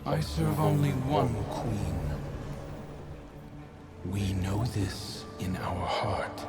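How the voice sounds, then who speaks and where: An actor playing a sneering, haughty ghostly prince in a game, with deliberate, theatrical, cutting delivery. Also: male voice, fairly low pitch, lively intonation, over loud music. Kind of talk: dramatic scripted game dialogue.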